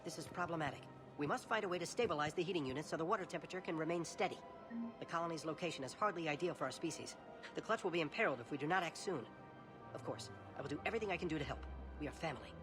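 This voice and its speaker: Video game alien family man, male actor: rapid, formal, sincere, pitched fairly high. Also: music in the background, lightly acted. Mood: worried. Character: earnest, family-minded, quick-talking male alien.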